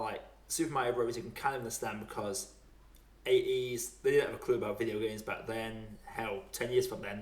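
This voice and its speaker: A man's voice: mid-range voice, far from the mic. A man hosting a podcast in casual chat, talking in a wry, rambling, conversational way. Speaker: podcast host. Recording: roomy, echoey home recording.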